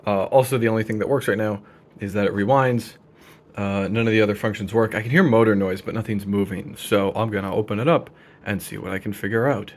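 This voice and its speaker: A young man talking casually and deadpan as he narrates a hands-on repair, with slangy, wry delivery. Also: lowish voice, brisk. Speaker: video creator. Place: indoor room at a desk.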